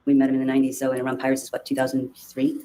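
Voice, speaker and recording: A woman answers questions on the witness stand, stumbling and restarting her sentences, full of fillers. Her voice is mellow and dark, yet she talks quickly. Faint noise sits under the courtroom feed. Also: very deep voice, monotone. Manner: halting, many restarts and fillers. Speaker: trial witness. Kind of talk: courtroom testimony.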